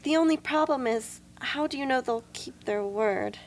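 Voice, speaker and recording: A woman playing a gentle, perceptive young girl, a little sister, in an amateur radio drama. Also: high voice, normal speed, natural voice. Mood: earnest, reassuring.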